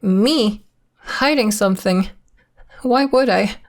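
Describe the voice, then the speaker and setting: A woman playing a secretive, polite and protective young werewolf in an ASMR roleplay, speaking in a measured, hesitant, matter-of-fact way. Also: mid-range voice. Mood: guarded, evasive.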